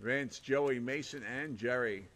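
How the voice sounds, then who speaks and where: A man calling a live RC car race with a hoarse, fading voice, rattling off names and lap times in short bursts. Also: mid-range voice, measured pace, fairly bright tone, a little hiss or hum. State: hoarse, losing his voice. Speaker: race announcer.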